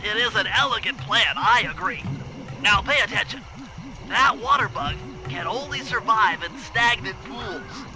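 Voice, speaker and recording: A man's voice: high voice, measured pace, over music, poor audio. A man voicing a brainy, fussy turtle who plans missions in a video game, speaking in precise, wordy explanations. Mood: explaining, matter-of-fact. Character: brainy, fussy nerdy planner turtle, proud strategist. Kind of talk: video game cutscene acting.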